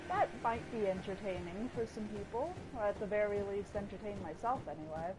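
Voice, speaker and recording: A woman's voice: mid-range voice, animated, brisk, noisy background. A woman rambling casually while playing a video game, swearing freely and breaking off with startled outbursts. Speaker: gaming video creator. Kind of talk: casual rambling commentary over gameplay. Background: game music.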